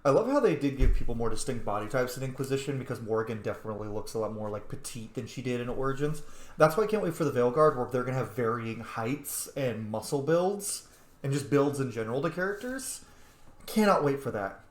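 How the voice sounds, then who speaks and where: Casting: male game streamer, lively swinging pitch, casual and jokey reactions, recorded in an echoey room. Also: brisk. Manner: informal, joking, talks back to characters. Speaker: game streamer playing a roleplay. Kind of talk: casual reactive gameplay commentary.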